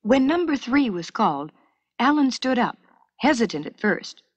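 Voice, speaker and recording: A woman reads a scripted educational story for children in a clear, measured storytelling manner, as on a 1970s school cassette. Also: on the high side, very animated, dry recording, highs cut off. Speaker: narrator.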